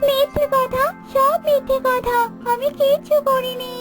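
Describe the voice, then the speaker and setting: A woman voicing a scheming female cartoon bird, shrill, dramatic and self-righteous. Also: flat delivery, measured pace, a bit bright. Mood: defensive denial. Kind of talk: children's cartoon voice acting. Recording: music behind the voice.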